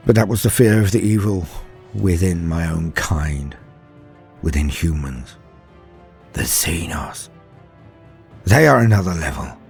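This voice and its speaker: A man playing a frightened, haunted far-future soldier, telling his horror story in a dramatic first-person monologue with brooding pauses and shifts from hushed to emphatic. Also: low-pitched, breathy edge, full character voice. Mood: brooding dread. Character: frightened, haunted far-future soldier, former factory worker, recalling terrors.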